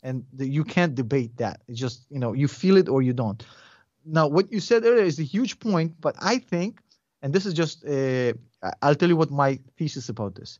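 A fairly high-voiced man in his forties with a Russian accent talks loosely and bluntly as an interview guest, mixing jokes and curses into his points. His audio is dull and lo-fi, and the room is dead dry. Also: very animated, fast talker, darkish tone, dry recording. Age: 40s. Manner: rambling, blunt, swears, jokes. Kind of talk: casual interview chat.

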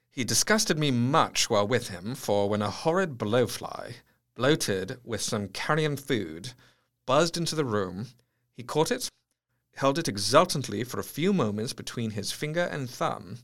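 A man playing an educated asylum doctor who dictates a clinical diary in a measured, precise way. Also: medium pitch, fairly bright tone. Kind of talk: dramatised diary narration.

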